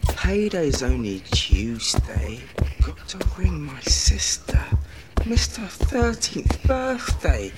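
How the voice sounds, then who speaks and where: An actor playing an anxious, self-deluding man muttering clipped, repetitive resolutions to himself in a breathy half-whisper, as an audio drama inner monologue. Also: a little high, big pitch swings, slow, loud music behind the voice. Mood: harried list-making.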